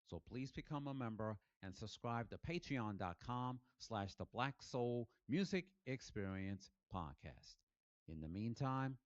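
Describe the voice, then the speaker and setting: A man's voice: on the low side, a little mellow, no room sound. A man reading a promo appeal for his podcast, pleading directly and repeatedly with listeners. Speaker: podcast host. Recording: dull, dry lo-fi recording.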